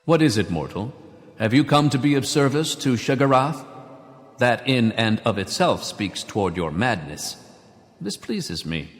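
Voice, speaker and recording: An actor playing a gleefully mad, haughty godlike prince in a fantasy video game. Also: male voice, medium pitch, very animated, unhurried, long reverb tail, faint music behind.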